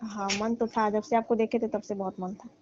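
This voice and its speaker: A woman acting a flirtatious, scheming middle-aged mother-in-law in a staged comic phone call, talking in a soft, coaxing way that sinks to near whispers. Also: mid-range voice, level tone, fairly quick, lightly acted. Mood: coy, hushed. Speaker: comedy call actor. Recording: dull lo-fi phone call recording.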